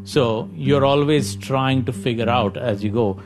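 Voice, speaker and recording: Male executive, Indian accent, interview talk, halting and repetitive, average pitch, faint music behind. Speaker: company executive being interviewed. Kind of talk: interview answers, informal explanation.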